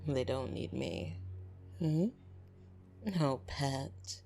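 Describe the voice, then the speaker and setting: A woman playing a fond, teasing, possessive vampire in an intimate ASMR roleplay, speaking softly and often slipping into whispers. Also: a bass voice, drawn-out words, exaggerated voice. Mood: tender. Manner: soft, breathy, teasing, often dropping into whispers. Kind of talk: intimate ASMR roleplay, scripted monologue to listener. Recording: music behind the voice.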